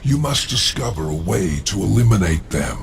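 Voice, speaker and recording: An actor playing a sly, calculating demon lord in a video game, gravelly, formal and measured. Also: male voice, on the low side, very animated, noisy background. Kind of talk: dramatic video game cutscene acting.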